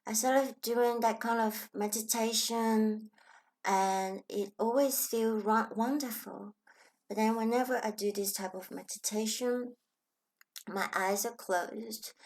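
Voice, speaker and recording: A woman with a Hong Kong Chinese accent speaks slowly and gently in a reflective personal talk. Her voice sits at a middle pitch, unhurried and thoughtful, now and then sinking to a soft whisper. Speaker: channel host.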